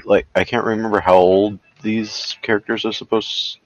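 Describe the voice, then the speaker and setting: A man's voice: lowish voice, little pitch movement, normal speed, no room sound, muffled recording. A man co-hosting a podcast in casual conversation, musing aloud in a tentative way. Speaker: podcast co-host. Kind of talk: casual chat.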